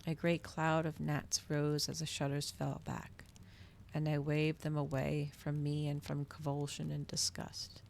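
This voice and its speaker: A woman reading a story aloud in a soft, hushed ASMR style. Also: low-pitched, completely flat delivery, unhurried, creaky, slight background noise. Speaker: streamer reading a novel aloud.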